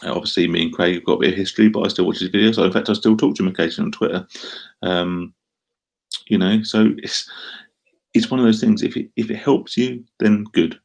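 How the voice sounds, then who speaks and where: Deep gravelly Essex man. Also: quick pace, darkish tone. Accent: Essex English. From Essex, England. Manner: rambling, self-deprecating, frequent ums and restarts. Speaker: live streamer answering chat. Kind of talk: casual live stream chat.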